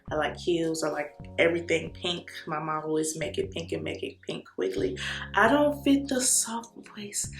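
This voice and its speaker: A young woman with a Chicago accent, talking to the camera in an energetic, slangy way and laughing often. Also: fairly low pitch. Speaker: vlogger. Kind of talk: casual talk to camera. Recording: echoey room with soft guitar music.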